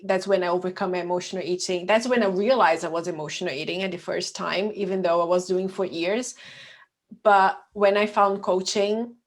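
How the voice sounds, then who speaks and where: A woman in her thirties with a Brazilian accent and Portuguese as first language, talking casually and personally as an interview guest. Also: fairly low pitch. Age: 30s. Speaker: podcast guest, emotional eating coach.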